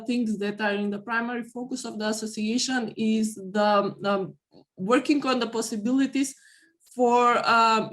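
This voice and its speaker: A woman giving formal interview answers as an organization's representative, measured and pausing within phrases. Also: a little high. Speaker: guest representing a business chamber forum.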